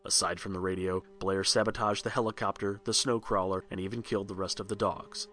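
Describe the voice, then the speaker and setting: A man's voice: fairly low pitch, steady tone, a little fast, fairly bright tone, soft background music. A man reading a scripted storybook narration for a read-along record.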